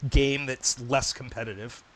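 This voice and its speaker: A man's voice: mid-range voice, steady tone, measured pace, fairly bright tone. A man around forty hosting a stream, mockingly accusing a caller during a heated live argument. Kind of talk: heated live-call confrontation.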